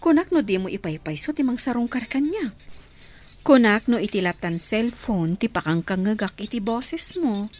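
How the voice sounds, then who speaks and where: A woman's voice: fairly high pitch, animated, normal speed, darkish tone. A woman acting in an Ilocano radio drama, playing a shy, agreeable sweetheart who is a little nervous. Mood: warm, agreeable.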